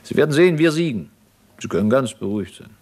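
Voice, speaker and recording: An actor playing a zealous, self-assured revolutionary commander in a German radio play, didactic and needling. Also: male voice, mid-range voice, very animated, fast, darkish tone. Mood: confident.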